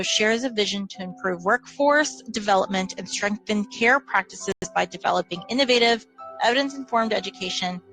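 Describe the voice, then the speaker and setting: A woman narrating a scripted award video with an even, formal read. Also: mid-range voice, poor audio. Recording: soft music behind.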